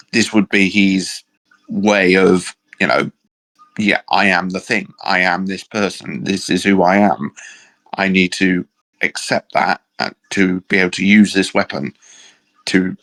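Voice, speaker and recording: A man's voice: sits low, a bit bright, low-quality recording. A man co-hosting a casual geeky chat, talking thoughtfully with a dry sense of humor. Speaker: channel co-host.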